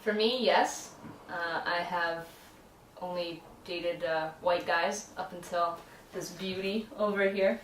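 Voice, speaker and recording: Young woman, vlog co-host, relaxed and laughing; roomy echo, mic set back. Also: lowish voice, slow, slight background noise. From Canada. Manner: easygoing, laughs between lines. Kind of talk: casual chat. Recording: echoey room, mic at a distance.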